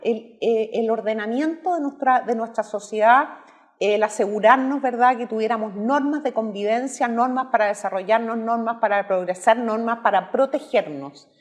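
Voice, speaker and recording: Chilean woman in her fifties, higher voice. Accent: Chilean Spanish. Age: 50s. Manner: measured, explanatory, tagging points with "¿verdad?". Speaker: guest. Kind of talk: political discussion.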